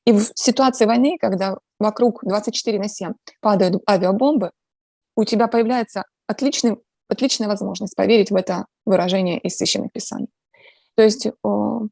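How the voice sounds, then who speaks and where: A woman from Ukraine, a guest in a casual interview, speaking earnestly and reflectively with many pauses and filler sounds. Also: medium pitch, vocal fry, darkish tone, no echo. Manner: earnest, talks about faith.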